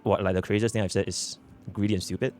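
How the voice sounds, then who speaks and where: A man's voice: lowish voice, fairly even intonation, fast, a little mellow, soft background music. A man explaining himself in a rambling, hesitant way, full of fillers and restarts. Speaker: content creator in a clip.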